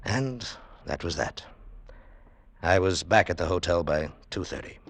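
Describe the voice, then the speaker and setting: An actor in 1940s radio style playing a wry, world-weary adventurer, terse and measured, on a noisy vintage recording. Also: male voice, low-pitched, lively intonation. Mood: tense, reflective. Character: hard-boiled, wry male adventurer narrating his tale. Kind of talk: 1940s radio acting and first-person narration.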